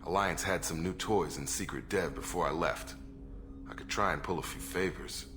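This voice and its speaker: An actor playing a steady, proud ex-military crew officer in a video game, plain-spoken and matter-of-fact. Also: male voice, low voice, normal speed, music in the background, lightly acted. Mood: businesslike. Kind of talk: video game character acting.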